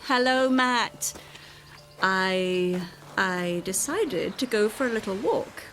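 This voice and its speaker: An actress playing a volatile, scornful, sarcastic wife in a dramatic radio play. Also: female voice, mid-range voice, animated, slow pace, a bit bright, a little hiss or hum. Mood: breezy, needling. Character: volatile, scornful wife hopping between dimensions. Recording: music under the voice.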